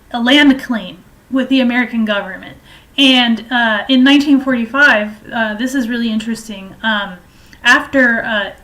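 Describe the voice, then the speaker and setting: A woman giving informal political and historical commentary in a halting, hesitant way, explaining at length. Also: a little high, fairly bright tone.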